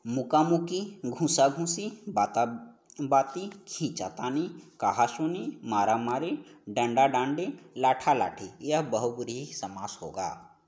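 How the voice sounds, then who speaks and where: A man's voice: mid-range voice, animated, slow pace, a little mellow. A man teaching an exam-prep class, explaining practice questions and repeating key phrases as he goes. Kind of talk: instructional lecture, working through practice questions.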